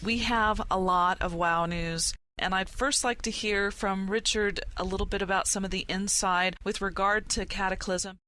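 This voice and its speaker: A woman's voice: mid-range voice, fairly even intonation, normal speed, few pauses, sharp tone, faint music behind, rough recording. A woman moderating a podcast panel and putting questions to the guests.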